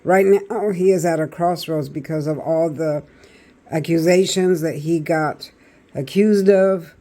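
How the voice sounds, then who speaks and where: A man with a raspy voice doing a chatty tarot reading, talking straight to his viewers. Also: fairly high pitch, a little slow, warm tone. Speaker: tarot reader.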